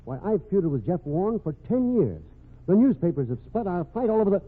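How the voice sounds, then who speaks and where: Blustering, husky boss in vintage radio. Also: male voice, sits high, big pitch swings, a little fast, exaggerated voice. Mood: alarmed. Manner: gruff, impatient, exclaiming. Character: blustering, irritable middle-aged male company president. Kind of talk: old-time radio mystery acting. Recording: muffled, lo-fi old radio recording.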